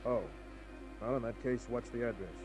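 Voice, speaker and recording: A man's voice: medium pitch, fairly even intonation, normal speed, music in the background, natural voice, low-quality recording. An actor playing a tough private detective who wryly narrates his cases in a 1950s radio drama. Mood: businesslike. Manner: wry, hard-boiled.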